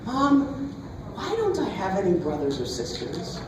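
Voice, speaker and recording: A man's voice: sits high, huge pitch swings, normal speed. A man voicing an earnest, inquisitive young daughter in a comic fairy tale, with an innocent, questioning manner. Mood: earnest, upset. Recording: echoey, distant, noisy live recording.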